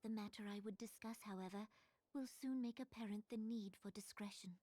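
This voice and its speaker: An actress playing a regal, resolute young queen in a fantasy game, speaking in a solemn, formal, deliberate manner. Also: mid-range voice, warm tone, dry recording, natural voice. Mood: grave, resolute. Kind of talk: video game cutscene acting.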